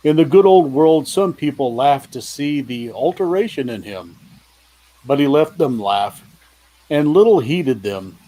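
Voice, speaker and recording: A man's voice: fairly high pitch, animated, unhurried, mellow, rough recording. A man narrating a classic story from a script in a live play reading.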